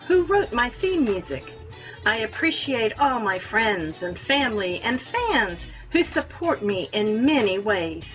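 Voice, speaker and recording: A woman's voice: fairly high pitch, fairly bright tone. A woman hosting a radio show, chatting and reading scripted announcements. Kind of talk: friendly interview sign-off and scripted sponsor reads.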